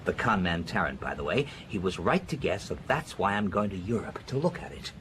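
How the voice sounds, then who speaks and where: Worldly professor: low, very animated, confiding storyteller for radio drama, with faint noise behind. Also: male voice, brisk. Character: worldly, frank male professor recounting adventures.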